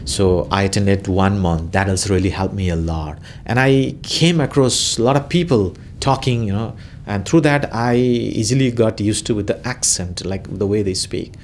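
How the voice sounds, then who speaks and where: A man's voice: fairly low pitch, normal speed, a little hiss or hum. A man in his thirties with a Nepali accent, answering interview questions in a hesitant way, with many fillers and restarts.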